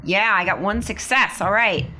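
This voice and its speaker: A woman's voice: medium pitch, moderate pace, heavy background noise. A woman chatting casually as a player in a tabletop role-playing game.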